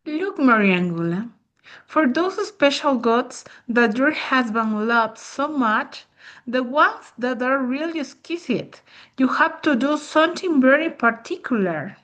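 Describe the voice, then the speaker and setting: Mischievous village neighbor, female actor, amateur drama: mock-sweet, high-pitched, unhurried delivery. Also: expressive, fairly bright tone. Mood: sly, conspiratorial. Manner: deliberate, insinuating, mock-sweet. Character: sly, mischievous village neighbor woman, knowing and scheming.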